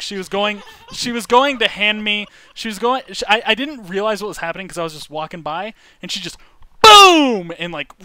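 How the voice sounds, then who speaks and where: Bright high-voiced young man telling stories. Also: no room sound. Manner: animated, acts out quoted lines. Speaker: YouTube host. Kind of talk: casual storytelling.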